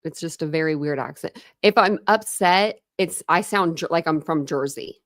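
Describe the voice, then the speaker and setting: A woman's voice: deep voice, no echo. American woman rambling on a true crime stream, midwest-southern mix accent, dry close sound. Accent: mixed Midwest and Southern American. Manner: chatty, rambling, quick asides with laughs. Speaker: true crime livestream host. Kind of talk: casual livestream chat reading comments.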